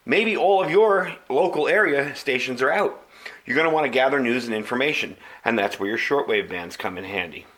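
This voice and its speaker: A man's voice: on the high side, fairly bright tone. A man hosting a video channel, talking through a product review in a casual, plain-spoken, explanatory way.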